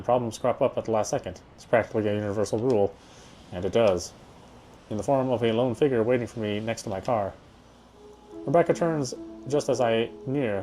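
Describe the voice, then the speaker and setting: A man's voice: mid-range voice, warm tone. Man reading a story aloud over music. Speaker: video game player reading aloud. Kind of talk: first-person story narration read aloud.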